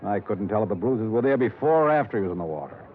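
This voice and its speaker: A tinny old 1950s radio drama recording carries a low-pitched American man acting as a small-town police chief. He is weary and gruff, with a folksy, terse way of talking and a dry, sardonic authority. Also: expressive, quick pace, close to an everyday voice. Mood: wry, grim.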